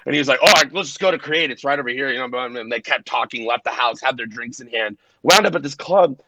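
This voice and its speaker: A man's voice: mid-range voice, sharp tone, dry recording, highs cut off. A man in his late twenties, a DJ, chatting casually and telling rambling, profane stories. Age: 20s. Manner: rambling, profane storytelling with quick impressions. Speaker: DJ guest. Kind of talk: casual podcast chat.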